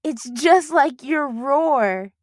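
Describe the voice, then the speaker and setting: A fussy, playful young girl from a storybook , as a woman reader puts her on. Her speech is expressive and swoops up high, recorded close and dry. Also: extremely slow, no echo, exaggerated voice. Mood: excited. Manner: expressive, squealing when excited.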